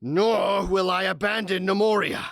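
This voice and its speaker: A man's voice: sits high, measured pace, gravelly, a bit bright, no echo, studio quality, exaggerated voice. A man acting a proud, defiant vampire clan leader in an audio drama, speaking in a haughty, impassioned way. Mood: proud conviction. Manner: haughty, impassioned, theatrical delivery.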